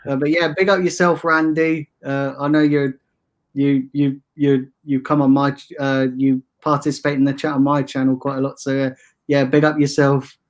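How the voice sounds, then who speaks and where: A man's voice: mid-range voice, flat delivery, normal speed. A man with a soothing voice chatting casually as a guest about football, laid-back and hesitant, with restarts and fillers. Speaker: guest, fan channel streamer.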